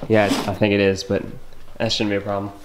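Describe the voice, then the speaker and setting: A man's voice: lowish voice, completely flat delivery, fairly quick, close to an everyday voice. A young man playing a jittery, reluctant student cameraman in a found-footage horror film, with noisy amateur sound. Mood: casual.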